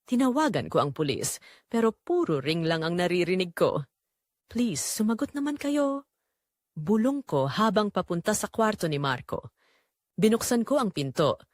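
A woman's voice: lowish voice, very animated, moderate pace, no echo. A woman narrates a first-person thriller in a suspenseful, emotive style. Her intonation moves widely, and the recording is dry with no room sound. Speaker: audio drama narrator.

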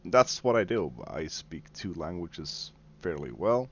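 Deep, slow gaming host. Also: male voice, very animated, muffled recording, slight background noise. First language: Swedish. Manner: mocking, taunting, casual swearing. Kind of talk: sarcastic gameplay commentary. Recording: game gunfire under voice.

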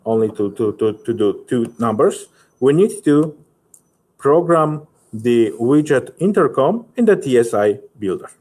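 A man in his thirties with a Polish accent, giving a halting, methodical software tutorial as a technical specialist. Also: mid-range voice. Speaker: technical specialist presenting a webinar.

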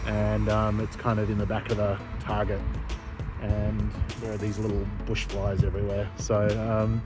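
A man's voice: fairly low pitch, completely flat delivery, over music. A middle-aged man talking hesitantly and casually to camera for a road trip vlog, filmed at arm's length in a car or outdoors. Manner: hesitant, halting, thinks aloud. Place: parked car interior and outdoor roadside charging stops. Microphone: phone or camera at arm's length.

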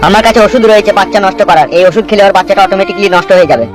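A man's voice: sits high, very fast, lightly acted. A man voicing brash, lecturing village men in a comedy cartoon, with a blustery manner. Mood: admonishing. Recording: music behind the voice.

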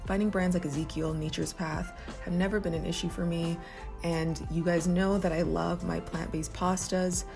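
A young woman talks to the camera from a sofa in her living room, chatty and upbeat. Her voice sits a little low with a gravelly fry at the edges. Clear music plays under her voice. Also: flat delivery, moderate pace. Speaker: vlogger. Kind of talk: casual vlog talk to camera and voice-over.

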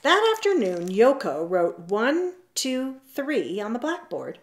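A woman reads a children's picture book aloud. Her pitch is lively and swoops around while the pace stays slow, with expressive, drawn-out storybook phrasing. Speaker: storytime reader. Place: indoors at home, seated on a leather couch.

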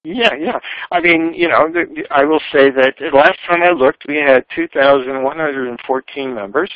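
An older man speaking informally in a webinar, halting and wandering into asides. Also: a little high, no room sound. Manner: halting, digressive, trailing asides. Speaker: speaker at a professional society webinar. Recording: dull, lo-fi webinar audio.

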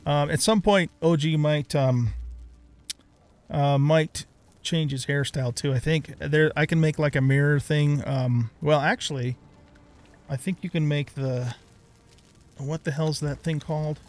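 A man's voice: on the high side, steady tone, a little slow, darkish tone. A middle-aged man giving casual let's play commentary, rambling as he thinks aloud.

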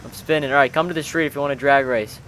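A man with an average-pitched voice commentates casually on a game he is playing. He talks loosely and chattily with slang, like he is hanging out with buddies. Faint vehicle noise runs behind him. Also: steady tone, very fast, fairly bright tone, rough recording. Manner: chatty, informal, peppered with bro-style slang. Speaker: gaming video host. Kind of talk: casual roleplay commentary while playing a video game with friends.

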